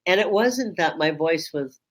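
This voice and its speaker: A woman Reiki teacher hosting a webinar, with a strong voice that carries across a room. She talks in a slow, measured way and pauses often with ums. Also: on the low side, expressive. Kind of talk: reflective webinar talk. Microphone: webinar microphone.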